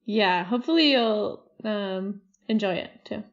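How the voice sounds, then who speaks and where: A woman co-hosting a podcast in a relaxed, measured casual chat. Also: medium pitch, highs cut off. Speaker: podcast co-host.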